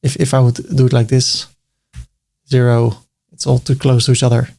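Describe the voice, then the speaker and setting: A man's voice: medium pitch, completely flat delivery, normal speed, creaky, dark tone. A man with a Dutch accent, talking through a web design tutorial as he clicks, thinking aloud, repeating words and slipping in dry jokes. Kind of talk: screen-recorded software tutorial.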